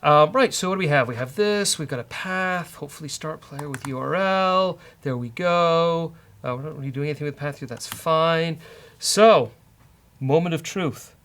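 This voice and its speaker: A man's voice: on the high side, normal speed, a bit bright. A man hosting a casual programming walkthrough, thinking aloud in a wry, hesitant way. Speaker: host, programming instructor. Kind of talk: casual live-coding walkthrough.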